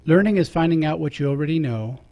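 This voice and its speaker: A man's voice: on the high side, lively intonation, fast, mellow. A man, a priest, hosting a radio talk show in a casual, jokey way, chuckling between remarks.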